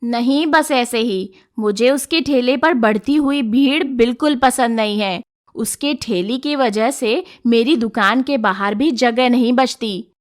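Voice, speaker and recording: Woman acting as jealous middle-aged mother, slow delivery, high pitch, disapproving, greedy shopkeeper, cartoon moral tale. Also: lightly acted. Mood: resentful. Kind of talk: Hindi animated moral story dialogue.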